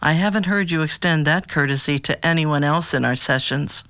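Actress as a measured, probing therapist, closely recorded. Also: female voice, low-pitched, fairly bright tone, dry recording. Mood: calm, curious. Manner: measured, formal, questioning. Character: calm, probing, patient therapist. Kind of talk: audio drama dialogue.

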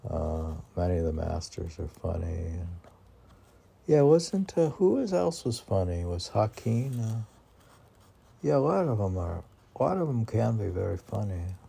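Very deep, gravelly, slow-talking man. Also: very animated, dark tone. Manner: rambling, conversational, asks and answers himself. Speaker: poet reading and commenting on own poems. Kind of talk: informal poetry reading with self-questioning commentary.

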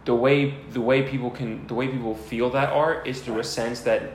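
A young man with a middle-pitched voice rambles casually, often circling back to find the right word. The room around him is echoey, with a faint bed of ambience. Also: fairly even intonation, moderate pace, a little hiss or hum. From New Jersey. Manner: rambling, searching for words. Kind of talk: casual chat.

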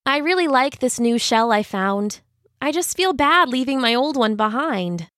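A woman's voice: high-pitched, expressive, moderate pace, a bit bright, no echo, clean studio sound, pitched above the performer's own voice. A woman voicing a young, sensitive male hermit crab in an earnest, plaintive way for a children's story. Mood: sad.

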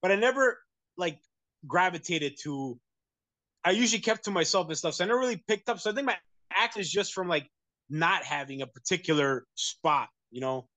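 A man's voice: high voice, animated, a little fast, bright, forceful tone, no room sound. A man from the United States, talking casually as an interview guest, rambling with many fillers and restarts. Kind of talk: casual conversation.

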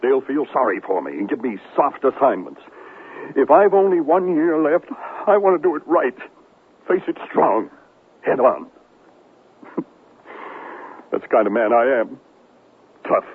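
An actor playing a vain, manipulative, self-pitying newsman in a radio drama, with a theatrical, stammering manner and a husky voice. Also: mid-range voice, very animated, a little slow, long pauses, exaggerated voice. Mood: mock brave. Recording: muffled lo-fi 1970s radio drama recording.

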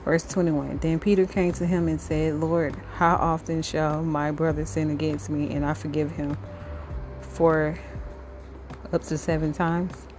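A low female voice reads scripture aloud for a devotional podcast, with little rise and fall in pitch. She goes phrase by phrase in a halting way, and music plays clearly behind her. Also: little pitch movement. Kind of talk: devotional Bible reading. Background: music under the voice.

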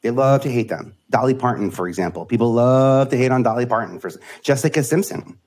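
A middle-aged man, a media advisor and guest on a podcast, talking in a rambling, animated way. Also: mid-range voice, fairly quick. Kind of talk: casual interview chat.

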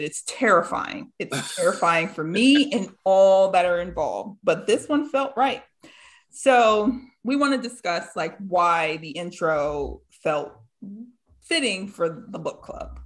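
A woman's voice: on the low side, measured pace. A woman hosting a podcast in casual chat, teasing and laughing a lot. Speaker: podcast host.

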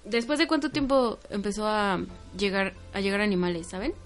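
A young Mexican woman co-hosts a relaxed podcast, chatty and quick to steer the topic. Faint music plays beneath her voice. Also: medium pitch. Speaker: podcast co-host. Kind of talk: casual chat.

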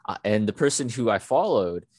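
A man with a notably deep, low-pitched voice, recorded dry with no room sound. He co-hosts a relaxed podcast chat. He speaks earnestly and reflectively, with plenty of "you know" fillers. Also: fairly quick, no echo. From United States. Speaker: podcast co-host. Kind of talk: casual podcast conversation.

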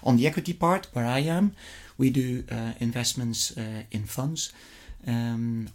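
A man's voice: mid-range voice, a little slow. Middle-aged Flemish Belgian man in interview. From Flemish-speaking Belgium. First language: Dutch. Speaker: interview guest, investment fund executive. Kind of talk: conversational interview answers.

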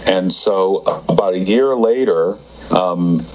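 Deep-voiced man rambling through his story. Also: slow, dull audio, slight background noise. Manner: rambling, restarts, many fillers. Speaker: guest, TV writer. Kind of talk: casual interview storytelling.

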